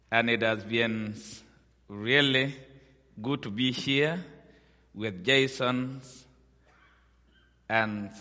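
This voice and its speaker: A middle-aged man from Sudan, a missionary giving a guest sermon in slow, halting, simple English. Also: medium pitch, sharp tone, long reverb tail. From South Sudan.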